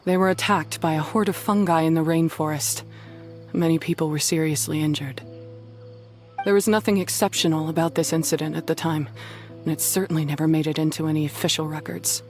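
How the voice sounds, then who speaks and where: Woman as low-voiced, guarded young rival. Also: steady tone, fairly quick, darkish tone, faint music behind, natural voice. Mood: guarded, bitter. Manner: curt, defensive, sardonic. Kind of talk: video game voice acting.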